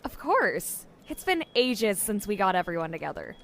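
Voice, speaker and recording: A woman's voice: mid-range voice, big pitch swings, moderate pace, gravelly. A woman playing a friendly, earnest young investigator in an audio drama. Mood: casual, friendly. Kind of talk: audio fiction acting.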